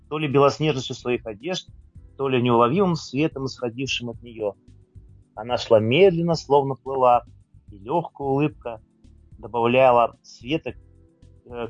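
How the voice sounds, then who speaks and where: A man's voice: medium pitch, no echo, muffled recording. A man presenting his own writing in an informal talk and reading aloud.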